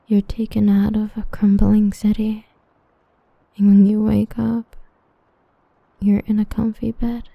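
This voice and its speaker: A woman playing an obsessive, possessive female villain in an ASMR roleplay, breathy and intimate with menacing devotion. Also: on the high side, no room sound, narrow, tinny audio, full character voice. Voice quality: breathy, whispery. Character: obsessive yandere female villain, tenderly possessive, murderously protective.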